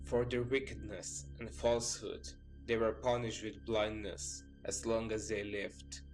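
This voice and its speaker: A man's voice: mid-range voice, huge pitch swings, measured pace, poor audio. A man reading scripted, documentary-style narration as a storyteller. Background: background music.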